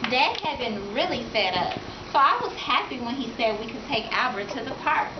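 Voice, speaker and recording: A woman voicing a cheerful, outspoken, warm-hearted young girl, chatty and childlike, in a storybook read-aloud. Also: a little high, animated, moderate pace, lightly acted. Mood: chatty, frank. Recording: echoey room from far, noisy, dull.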